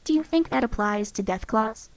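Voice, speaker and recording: A woman voicing a wry, thoughtful female companion in a game, speaking in a calm, measured way. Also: on the high side, no echo. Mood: wry musing. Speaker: voice actress for a game companion mod.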